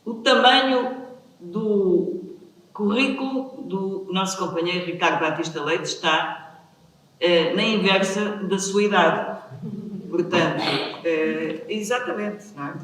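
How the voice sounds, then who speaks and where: A woman's voice: on the low side, a bit bright. Woman from portugal doing a chatty intro speech, european portuguese accent, big echoey hall, mic far off. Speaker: event host introducing a guest speaker. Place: large reverberant room at a public event. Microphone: distant microphone. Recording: very echoey hall, distant mic, faint noise.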